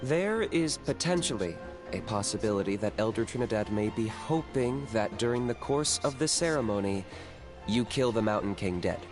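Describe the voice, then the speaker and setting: A man voicing a blunt, deadpan young hunter. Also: on the low side, normal speed, close to an everyday voice. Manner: blunt, matter-of-fact, laid-back. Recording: game audio with music behind.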